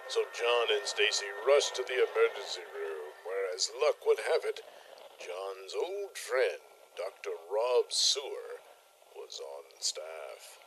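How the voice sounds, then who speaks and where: A man narrating a mock-gothic comedy tale in a measured, dramatic storytelling style. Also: fairly low pitch, very animated, a bit bright, slight background noise, rough recording.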